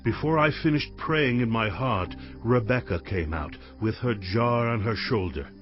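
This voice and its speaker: An actor playing a devout, earnest male servant on a mission in a dramatized audio Bible, speaking in a solemn, measured way. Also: fairly low pitch, natural voice. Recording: dull lo-fi audio with music behind.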